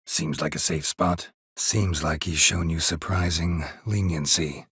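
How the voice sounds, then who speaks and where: A man voicing a gruff, stoic, dry-witted monster hunter in a video game, in a husky rasp with a terse, deadpan manner. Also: a bass voice, measured pace, no echo, full character voice. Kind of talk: video game character dialogue.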